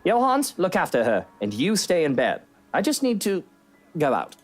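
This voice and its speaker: A woman voicing a gruff, brooding husband in a visual novel, speaking in stern, terse, formal phrases. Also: fairly low pitch, huge pitch swings, moderate pace, soft background music.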